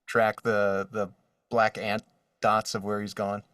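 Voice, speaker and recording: A man chatting casually and enthusiastically as an interview guest, in an expressive way. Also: lowish voice, takes it slowly, no echo, clean studio sound, distant mic. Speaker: guest, voice actor and artist.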